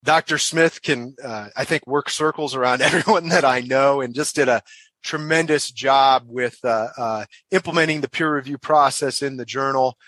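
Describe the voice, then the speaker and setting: A man's voice: mid-range voice, moderate pace, a bit bright, no room sound. A man hosting a professional podcast, introducing guests with many fillers and restarts. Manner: hesitant, frequent uh fillers and repeated words. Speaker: podcast host, association director.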